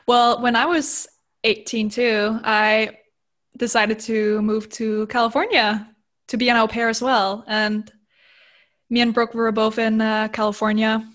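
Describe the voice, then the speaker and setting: A woman's voice: fairly high pitch, flat delivery, measured pace, a bit bright. A woman in her twenties with a Danish accent, co-hosting a podcast and chatting casually over a phone call, giggly and a little self-conscious. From Denmark. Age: 20s. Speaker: podcast co-host. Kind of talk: casual chat.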